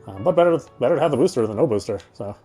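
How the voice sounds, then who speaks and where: Guy streaming a visual novel, talks fast, trips up and fixes his words, faint game music behind. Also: mid-range voice, animated, mellow. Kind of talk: casual chat and reading game narration aloud.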